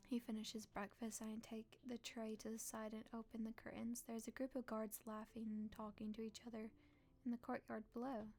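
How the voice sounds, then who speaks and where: A woman live streamer reading a visual novel aloud in a playful, expressive way with casual reactions. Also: a little high, moderate pace.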